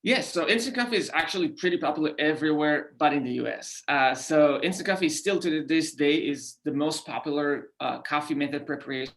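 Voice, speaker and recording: A man from Israel with an Israeli accent, a company founder answering podcast questions in halting speech with many fillers and restarts. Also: fairly high pitch, a bit bright. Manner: halting, frequent "uh" fillers and repeated words. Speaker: guest founder. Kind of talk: podcast interview.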